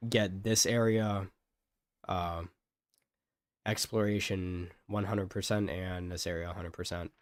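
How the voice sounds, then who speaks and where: A man streaming a game, chatting casually and thinking aloud in a rambling way. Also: sits low. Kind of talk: casual live gameplay commentary. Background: game music.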